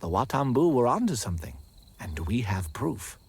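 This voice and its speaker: An actor playing an eager, eccentric leader of an amateur pagan ritual club in a video game, with formal, old-fashioned wording and a theatrical manner. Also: male voice, mid-range voice, very animated, normal speed, breathy edge. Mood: earnest, awed.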